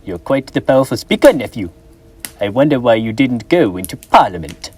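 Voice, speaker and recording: Scrooge, a snarling old miser. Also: male voice, medium pitch, sing-song, fairly quick, darkish tone. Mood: angry. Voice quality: gruff, snapping, contemptuous. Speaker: stage actor. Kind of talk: high school stage play.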